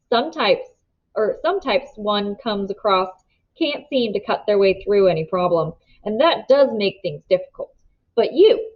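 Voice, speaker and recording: A silky, scheming gentleman villain, as a woman reads him aloud, polite and ingratiating with menace under it. His voice is pitched mid-range. The storybook recording is dull and lo-fi. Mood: coldly bargaining. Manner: silky, ingratiating, then hard bargaining. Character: smooth scheming older man, polite menace.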